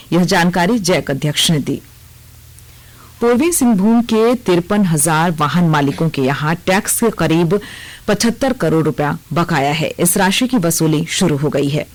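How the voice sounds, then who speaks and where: A woman's voice: lowish voice, animated, moderate pace, low-quality recording. A woman reading a scripted regional radio news bulletin as the newsreader.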